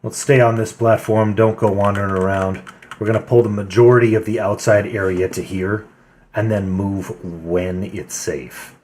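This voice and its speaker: Deep-voiced man calmly leading a raid. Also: a little hiss or hum. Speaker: raid leader. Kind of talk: live-streamed game voice chat.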